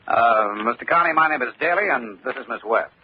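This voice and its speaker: An actor playing a brisk, dogged insurance investigator in an old-time radio drama, speaking assertively. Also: male voice, medium pitch, lightly acted. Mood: firm. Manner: assertive, animated. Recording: muffled, noisy old radio recording.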